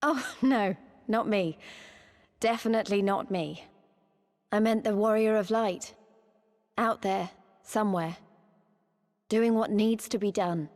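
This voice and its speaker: An actress playing a young female resistance fighter in a video game, passionate yet self-doubting, speaking in a heartfelt, halting, plain way. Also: medium pitch, a little mellow, in a large hall, natural voice. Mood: wistful, sincere. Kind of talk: cinematic video game voice acting.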